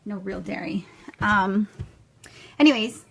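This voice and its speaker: Chatty woman with a French accent, gravelly. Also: mid-range voice, slow pace. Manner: chatty, keeps tagging "okay?" and "guys". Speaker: vlogger demonstrating a smoothie recipe. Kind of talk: casual kitchen demo, talking to viewers. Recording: noisy home recording.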